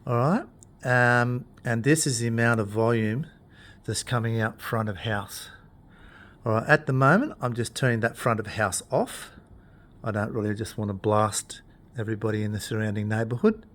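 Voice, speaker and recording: A man talking through equipment in an informal tutorial, explaining things in a plain-spoken, unhurried way. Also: lowish voice, a bit bright. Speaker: instructor demonstrating PA equipment.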